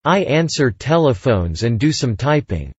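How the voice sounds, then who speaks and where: A man's voice: mid-range voice, very animated, measured pace, breathy edge, no echo, dull audio. A man reading short English answers for a language lesson in a careful, scripted way. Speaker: English dialogue reader.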